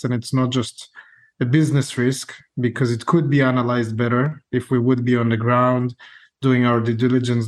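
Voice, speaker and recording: Israeli-accented man, mid-pitched interview guest. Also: a little mellow. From Israel, born in Ukraine. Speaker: podcast guest, real estate investor. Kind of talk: conversational interview storytelling.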